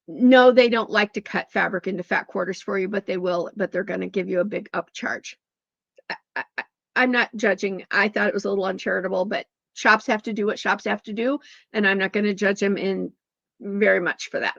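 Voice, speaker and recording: A woman's voice: medium pitch, gravelly, a bit bright, no room sound. Chatty woman quilting teacher, slightly scratchy. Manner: chatty, digressive, self-correcting. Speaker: quilting instructor. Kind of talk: chatty sewing tutorial. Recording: dull lo-fi dry sound.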